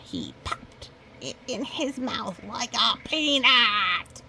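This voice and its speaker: A woman's voice: on the high side, expressive, takes it slowly, bright, forceful tone, a little hiss or hum, pitched above the performer's own voice, exaggerated voice, poor audio. A woman voicing a small male goose from a storybook, earnest and emphatic as he spins a tall tale. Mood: ominous storytelling.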